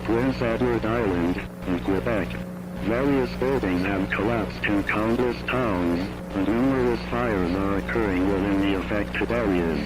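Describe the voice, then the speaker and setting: A man reading a scripted emergency warning as a broadcast announcer, formal and measured. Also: lowish voice, rough recording. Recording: loud background music over the voice. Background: loud music.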